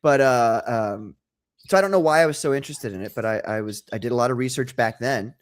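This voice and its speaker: A man's voice: medium pitch, fast. Enthusiastic, rambling male comic creator. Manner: enthusiastic storyteller, rambling, laughs easily. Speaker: guest, comic book creator. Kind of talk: casual interview chat.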